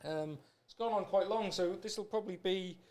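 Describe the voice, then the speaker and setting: A man's voice: sits high, fairly quick, a little mellow. A British man hosting a podcast in a casual, rambling, anecdotal way. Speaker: podcast host. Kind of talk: casual chat.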